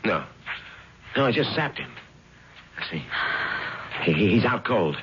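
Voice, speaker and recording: An actor playing a hesitant, gullible small-time crook with halting tough-guy talk in a 1970s radio drama. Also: fairly low pitch, very animated. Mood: uneasy. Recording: dull, noisy 1970s radio recording.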